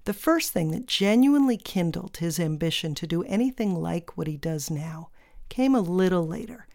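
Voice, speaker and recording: A woman host reading a scripted narration in a measured, deliberate way. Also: lowish voice, animated, creaky, darkish tone.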